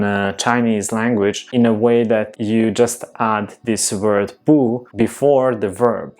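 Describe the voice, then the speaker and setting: A man's voice: on the low side, darkish tone. A man with a Serbian accent, a native Serbian speaker, explaining grammar points to viewers in an earnest, emphatic way as a language vlogger. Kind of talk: educational explainer.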